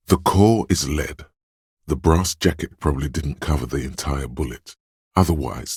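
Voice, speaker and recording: A wry, watchful inner sense of perception from a game, speaking in an extremely low, heavily husky voice. He notes small details in measured, dry observations, close to the mic with no room sound. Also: darkish tone, dry recording, heavily acted. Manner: measured, observant, dryly descriptive. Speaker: voice of a detective's perception skill. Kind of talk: scripted game voice lines.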